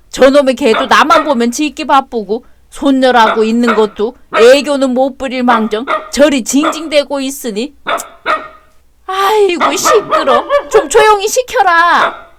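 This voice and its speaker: A woman's voice: high-pitched, heavy background noise. A woman voicing a grumpy old grandfather who grumbles harshly.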